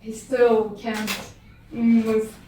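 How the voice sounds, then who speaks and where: Young Russian-native woman guest, slow and hesitant, a little high, miked from a distance. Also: little pitch movement, noisy background. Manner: hesitant, many fillers, wry. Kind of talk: casual chat. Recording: echoey room, far from the mic.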